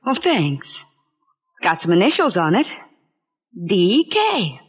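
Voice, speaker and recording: An American actress playing a playful, flirtatious well-to-do sweetheart of a detective in a 1940s radio drama. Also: female voice, medium pitch, huge pitch swings, takes it slowly, breathy edge, exaggerated voice. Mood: flirty, teasing.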